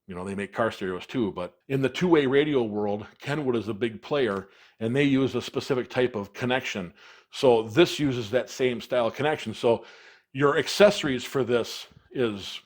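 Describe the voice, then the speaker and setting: Casting: American male product reviewer, mid-pitched, plain-spoken explainer style with emphasis on key words.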